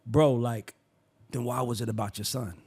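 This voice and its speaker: A man's voice: mid-range voice, steady tone, normal speed, slightly rough. Heated man ranting about sports. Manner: heated, preachy, rising to shouts. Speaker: show host. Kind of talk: sports opinion talk show.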